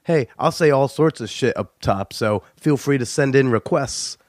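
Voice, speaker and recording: A man's voice: medium pitch, normal speed, darkish tone, no room sound, clean studio sound. A young man, stuffy from a cold, hosting a casual, joking podcast intro with plenty of "uh"s. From United States. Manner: joking and chatty, with frequent filler "uh". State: stuffy nose. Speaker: podcast host.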